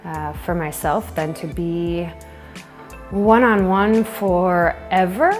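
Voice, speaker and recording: A woman's voice: fairly low pitch, takes it slowly, darkish tone, soft background music. A woman giving an unscripted personal testimonial, reflecting on her own views.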